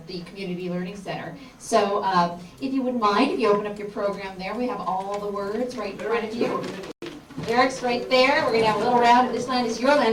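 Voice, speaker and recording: A woman speaking as class instructor and host, making informal announcements to an audience in a community center room. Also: mid-range voice. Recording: echoey room, distant mic, clear noise.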